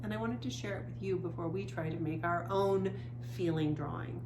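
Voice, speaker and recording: A woman hosting a storytime, reading a picture book aloud to children in an expressive storytelling style. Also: fairly low pitch, moderate pace. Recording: echoey room, faint background noise.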